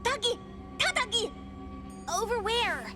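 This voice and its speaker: A woman voicing a cocky, excitable young girl boss in an anime-style video game, boastful and dramatic, with a playful manner. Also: very high-pitched, a little slow. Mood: indignant. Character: cocky, excitable young girl who bosses her gang around. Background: game music.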